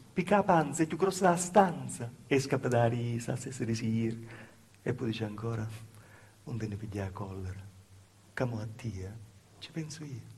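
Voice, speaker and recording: A man's voice: mid-range voice, vocal fry, darkish tone, breathy edge. An actor playing a humble, wronged southern Italian village woman in a plaintive theatrical monologue, with a Calabrian accent and repetitive storytelling. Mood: wry, confiding. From southern Italy, Calabria. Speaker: stage actor performing a monologue. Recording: live stage performance with music. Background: live music.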